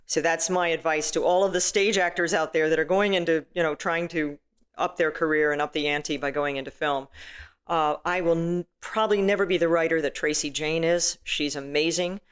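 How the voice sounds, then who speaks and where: A middle-aged woman with a booming, stage-trained voice, chatting casually as an interview guest with lots of "you know"s and restarts. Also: fairly even intonation, fairly quick, clear, resonant tone. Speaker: filmmaker and actress guest.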